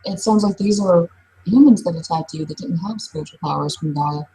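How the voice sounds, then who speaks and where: Woman playing a husky, low-voiced, blunt werewolf. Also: fairly quick, warm tone, low-quality recording. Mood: blunt, skeptical. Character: blunt, skeptical female werewolf questioning a survivor. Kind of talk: in-character tabletop roleplay dialogue.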